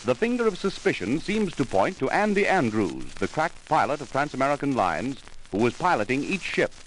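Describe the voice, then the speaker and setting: An actor as the announcer of an old-time radio serial, narrating with urgent drama. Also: male voice, medium pitch, big pitch swings, brisk, lightly acted. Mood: urgent, dramatic. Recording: slightly noisy old radio broadcast.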